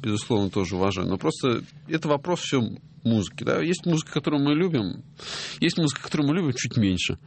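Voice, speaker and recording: A middle-aged man hosting a music radio show, talking casually and hesitantly, with many drawn-out filler pauses. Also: mid-range voice, expressive, a little hiss or hum. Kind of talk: casual radio talk reading listener messages.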